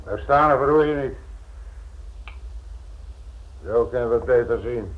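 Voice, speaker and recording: An actor in a radio play voicing a gruff, sarcastic, menacing security man who is curt and husky. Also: mid-range voice, a little fast, natural voice. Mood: menacing, mocking. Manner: curt, sneering, bullying. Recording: dull, lo-fi old radio recording.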